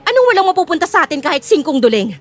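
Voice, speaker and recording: A woman's voice: extremely high pitch, big pitch swings, rapid-fire, soft background music. A woman playing a greedy, haughty middle-aged mother in an audio drama, with a scornful, theatrical delivery. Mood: indignant. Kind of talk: audio drama character acting.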